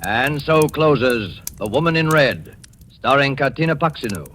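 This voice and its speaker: Slow, dramatic male radio announcer over music. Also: mid-range voice, animated. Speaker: program announcer. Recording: old 1940s radio recording. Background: loud music.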